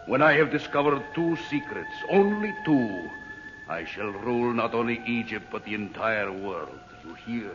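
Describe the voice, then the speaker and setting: An actor playing a grandiose, power-mad villain in a menacing, bombastic old-time radio serial style. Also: male voice, medium pitch, lively intonation, normal speed, natural voice. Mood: raving triumph. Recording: dull old radio recording, loud music.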